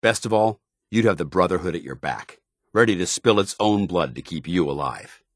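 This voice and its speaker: An American man voicing a stern, earnest, duty-bound military commander in a video game, speaking in formal, measured phrases. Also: low voice, expressive, no echo, studio quality. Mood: firm, proud. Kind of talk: video game companion dialogue.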